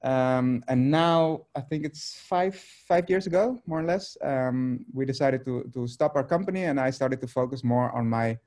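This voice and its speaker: A Dutch man in his thirties speaks English over a video call from a room at home. His voice is mellow and dark, and he talks haltingly, filling gaps with ums and restarting phrases. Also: mid-range voice. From Netherlands. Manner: hesitant, many fillers and restarts. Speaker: artist guest.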